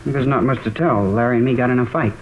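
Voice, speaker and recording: A man's voice: mid-range voice, fast talker, natural voice. A man playing a defiant, evasive fifteen-year-old American schoolboy in a mid-century radio drama. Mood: sullen, evasive. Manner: evasive, sullen, colloquial. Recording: dull, lightly noisy old radio recording.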